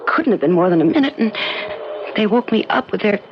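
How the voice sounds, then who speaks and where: An actress playing a frightened, insistent wife in a 1970s radio drama, speaking haltingly with anxious stammers. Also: female voice, medium pitch, animated, natural voice. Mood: shaken, insistent. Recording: noisy, muffled old radio broadcast recording.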